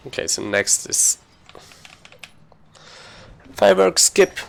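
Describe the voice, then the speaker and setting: A man's voice: high voice, big pitch swings, slow pace, creaky, a little hiss or hum. A man giving casual live commentary as he streams a speedrun, informally thinking aloud while he plays.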